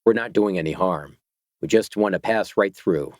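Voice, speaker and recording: A man voicing a guarded, tense male doctor on the run in an audiobook, low and clipped. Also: moderate pace, darkish tone, no room sound, clean studio sound, close to an everyday voice.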